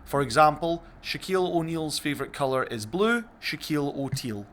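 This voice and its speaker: A man's voice: a little high, moderate pace, a little hiss or hum. A man hosting a podcast party game in a playful, casual, bantering way. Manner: playful, animated. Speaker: podcast host and game presenter.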